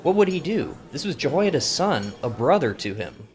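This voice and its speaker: A man's voice: on the high side, big pitch swings, moderate pace, darkish tone. A man narrating a scripted Bible story in a storytelling style. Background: plucked guitar music.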